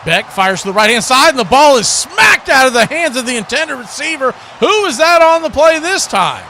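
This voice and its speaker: An American man giving live football play-by-play in short clipped phrases that build with excitement. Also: extremely high pitch, moderate pace, a little hiss or hum. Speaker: play-by-play announcer.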